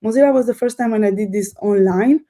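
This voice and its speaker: Fast-talking Romanian-accented woman, dark tone. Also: mid-range voice. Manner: fast, eager storytelling with fillers. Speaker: guest. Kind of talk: casual interview chat.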